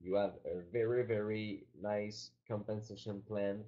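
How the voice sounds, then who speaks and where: A man from Quebec whose first language is French, presenting a business webinar in halting speech with many fillers and restarts. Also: lowish voice, steady tone, mellow, dull audio. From Quebec, Canada.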